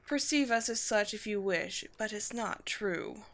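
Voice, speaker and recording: Woman playing a haughty, measured vampire queen. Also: medium pitch, fairly bright tone, lightly acted. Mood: composed, dignified. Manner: formal, measured, haughty, teasing. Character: poised vampire queen, proud, wry, secretly gentle colony leader. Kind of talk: scripted roleplay audio drama.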